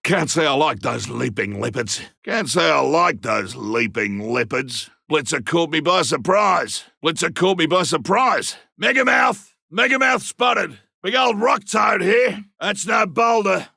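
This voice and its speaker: A seasoned Australian monster hunter for a video game, cocky and colloquial. The voice is gravelly and pushed fairly high for a man, with a forceful bark in a dry, close recording. Lines come as blunt field callouts. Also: lively intonation, measured pace, no echo, exaggerated voice. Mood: calm, wry. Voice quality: gravelly, rough. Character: gruff male monster hunter, rugged, cocky, seasoned trapper.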